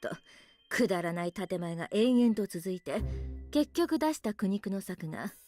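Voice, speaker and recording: A woman's voice: medium pitch, animated, quick pace, mellow, lightly acted. An actress playing a blunt, authoritative woman teacher in a Japanese visual novel. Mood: exasperated. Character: brisk, blunt woman schoolteacher laying down a challenge. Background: background music.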